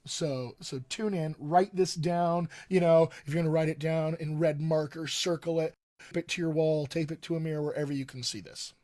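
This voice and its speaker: A man with a general American accent and a slightly high voice teaches in an informal live-stream style. He speaks as a coach and host, stressing key points emphatically and repeating them. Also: steady tone, moderate pace, warm tone. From Colorado, United States. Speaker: dialect coach, session host.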